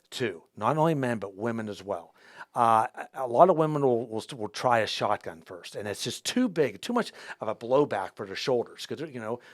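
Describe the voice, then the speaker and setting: A man hosts a talk show, his pitch swinging up and down as he restarts and repeats words. The sound is dry and close to the mic, with no room around it. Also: dry recording. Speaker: host. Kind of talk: casual interview talk.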